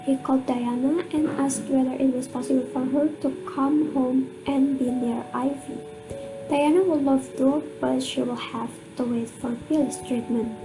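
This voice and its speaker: A woman narrating an amateur radio drama in a measured, scripted reading manner. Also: high-pitched, poor audio. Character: storytelling narrator of a family mystery. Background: music under the voice.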